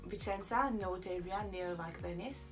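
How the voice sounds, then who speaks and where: A woman's voice: lowish voice, normal speed, recorded from a distance, over music. A young woman from Italy chatting casually in a vlog, rambling with lots of fillers and restarts, sitting in a small room. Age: young adult. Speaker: YouTuber. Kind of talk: casual get-to-know-me chat.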